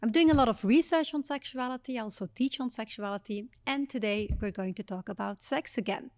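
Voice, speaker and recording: A woman from Belgium hosting a podcast as a psychologist, speaking in a measured, explanatory way with frequent fillers. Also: on the high side, darkish tone. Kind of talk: podcast conversation.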